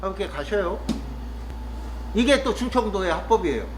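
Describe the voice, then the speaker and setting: A man from Korea's Chungcheong region talking casually and reflectively to the camera, pausing often with fillers as he muses. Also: high voice, lively intonation, slight background noise, low-quality recording. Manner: thoughtful, musing, with frequent pauses and fillers. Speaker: vlogger living in the countryside.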